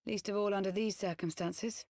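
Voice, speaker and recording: A woman playing a composed, earnest ex-spy turned loyal knight in a video game cutscene, speaking in formal, measured, archaic phrasing. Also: mid-range voice, steady tone, darkish tone, no echo, clean studio sound, close to an everyday voice. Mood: solemn, confessional.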